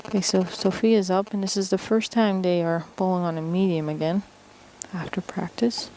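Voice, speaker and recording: A woman's voice: on the low side, fairly even intonation, moderate pace, dark tone. A woman from the Netherlands giving live bowling commentary and analysis in a casual, conversational way. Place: bowling championship venue. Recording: noisy hall, crowd behind. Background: bowling crowd.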